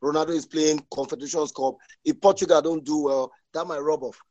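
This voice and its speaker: Halting man debating football. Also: fairly high pitch, fairly even intonation, a little mellow. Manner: halting, restarts words, frequent agreeing "exactly". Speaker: podcast panelist. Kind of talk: casual sports debate.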